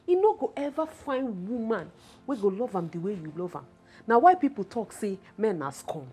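Animated, blunt Nigerian best friend. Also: female voice, medium pitch, normal speed, breathy edge. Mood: scornful, consoling. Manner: scolding yet reassuring, emphatic. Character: blunt, loyal female friend consoling a heartbroken woman. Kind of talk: film drama dialogue in Nigerian Pidgin.

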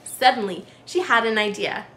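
A young woman reading a children's story aloud with expressive, sing-song emphasis. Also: fairly high pitch, moderate pace, reverberant.

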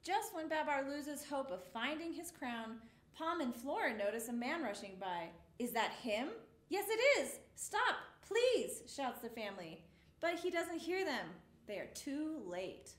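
A woman in her thirties reads a picture book to little children, her high voice bouncing all over with expression. The sound rings a bit in the small studio, picked up from across the room. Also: a little slow, echoey room. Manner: expressive, exclamations for the story. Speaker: storytime reader. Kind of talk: reading a picture book aloud to young children. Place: small indoor studio with a rainbow mural.

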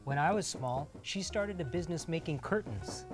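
A man's voice: a little high, expressive, normal speed. A man in his thirties hosting a casual on-camera visit to a workshop, explaining things with enthusiasm and emphasis. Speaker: host. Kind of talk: casual on-camera interview and storytelling. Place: indoor upholstery workshop. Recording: music behind the voice.

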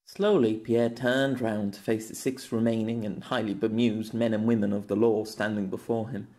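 A man's voice: fairly low pitch, flat delivery, moderate pace, dark tone. A man reading dramatic scripted narration as an audiobook narrator.

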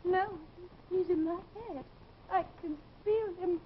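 A woman's voice: very high voice, measured pace. An actress playing a frightened, fragile young woman in early television melodrama. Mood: fearful, distressed. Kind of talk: 1950s live television melodrama. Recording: muffled, noisy old television soundtrack.

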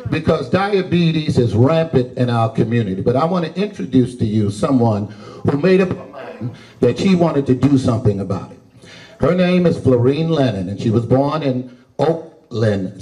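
A man's voice: medium pitch, animated, warm tone. Older guy giving a talk at a podium, handheld mic, sounds a bit distant in a big room. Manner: folksy, unhurried, conversational. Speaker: historian and lecture host. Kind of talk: public talk to a library audience. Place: large library room with an audience.

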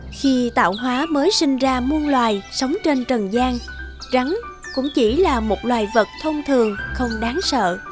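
A woman's voice: sits high, measured pace, faint music behind. A woman narrator reading scripted fairy tales for a cartoon.

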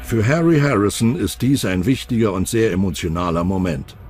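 Low-voiced man narrating true crime over music. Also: fairly quick, a little mellow. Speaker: documentary narrator. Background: background music.

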